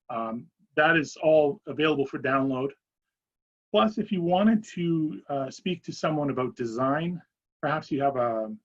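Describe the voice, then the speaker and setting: A man giving an informal, somewhat halting product presentation and answering questions as a company presenter in a webinar. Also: medium pitch. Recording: dull, lo-fi webinar audio.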